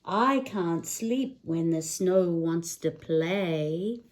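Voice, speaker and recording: A woman reading a picture book aloud to children, expressive, with sing-song questions. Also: lowish voice, very slow. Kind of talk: children's read-aloud narration.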